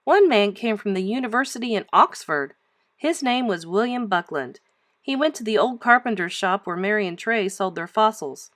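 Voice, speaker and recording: A woman reading a children's storybook aloud like a teacher, with emphatic, expressive storytelling. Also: mid-range voice, normal speed, dry recording, studio quality.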